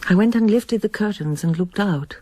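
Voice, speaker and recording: An actress playing an anguished middle-aged émigré pianist in a radio drama, intense and brooding. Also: female voice, mid-range voice, normal speed, dark tone, no room sound, natural voice. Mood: reflective narration. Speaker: lead actress and narrator. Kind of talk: radio drama acting and first-person narration.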